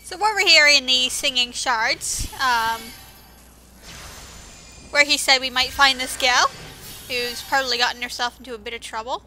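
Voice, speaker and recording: A woman with a very bright, sharp, high voice hosts a casual gaming video. She comments in an offhand, sarcastic way. Game music plays clearly under her voice. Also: moderate pace, pauses often, rough recording. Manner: sarcastic, mildly profane asides.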